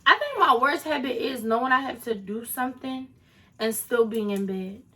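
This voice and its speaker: A woman's voice: a little high, lively intonation, normal speed, pushed and bright. A woman of twenty-one answering viewer questions in a casual vlog, chatty with sassy asides to her viewers. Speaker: vlogger.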